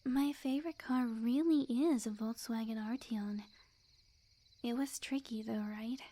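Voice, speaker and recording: A woman playing a sweet, obsessive witch girlfriend in an ASMR roleplay, speaking softly and breathily in a coy, unhurried, often whispered voice. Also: a little high. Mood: pleased, chatty.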